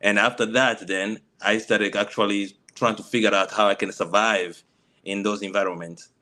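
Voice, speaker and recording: A middle-aged man from the Congo whose first language is Swahili speaks in a low voice. He talks haltingly, often restarting his sentences. He is heard over a video call. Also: steady tone, sharp tone. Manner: halting, many restarts and fillers. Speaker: panelist. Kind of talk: personal story on a panel.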